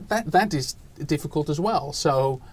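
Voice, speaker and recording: A man's voice: on the high side, animated, measured pace. A man in his forties from the Netherlands with a Dutch accent, answering interview questions in a thoughtful way, with many fillers and restarts. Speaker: education researcher guest. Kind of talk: podcast interview.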